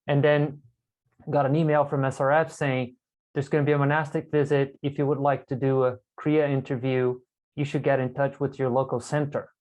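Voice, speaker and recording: A man from Brazil talks as a guest over a video call, reflective and candid. His voice sits at an ordinary middle pitch. He is a self-amused storyteller in a casual conversation. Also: level tone, moderate pace, a little mellow. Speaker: podcast guest.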